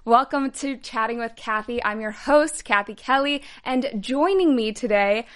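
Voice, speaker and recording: A woman's voice: fairly high pitch, moderate pace, a bit bright. Woman hosting a sit-down interview. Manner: friendly, affirming with short replies. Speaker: host.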